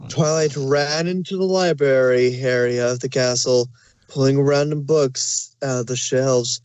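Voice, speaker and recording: Male narrator for fan fiction audio: mid pitch, scripted storytelling, very dry recording. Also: fairly even intonation, a little slow, darkish tone, clear, resonant tone, no room sound.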